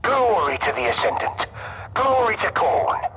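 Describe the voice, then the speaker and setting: An actor playing a zealous renegade soldier in a video game, making a fervent, formal report by radio. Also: male voice, high-pitched, big pitch swings, measured pace. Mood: fervent, triumphant. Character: zealous male renegade sergeant reporting to his dark master. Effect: radio transmission. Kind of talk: scripted video game acting. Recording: noisy clicking military radio transmission.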